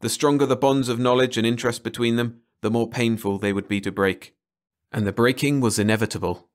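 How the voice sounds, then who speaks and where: A man narrates an audiobook in a medium-pitched voice. He reads scripted fiction as a bedtime read-along for adults. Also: steady tone, fairly quick.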